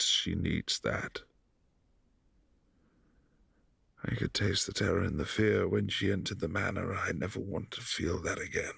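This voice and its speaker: A brooding, possessive vampire prince, voiced by a man in a boyfriend ASMR audio drama. His voice is low and husky, with a soft, hushed delivery that often drops to a whisper. The recording is close and dry, with no room sound. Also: no echo, heavily acted.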